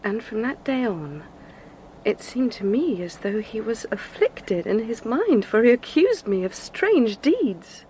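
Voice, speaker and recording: An actress playing a distressed, haunted young gentlewoman in an audio drama, speaking in a trembling, halting, earnest way. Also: fairly high pitch, very animated, a little hiss or hum, rough recording. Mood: troubled recounting.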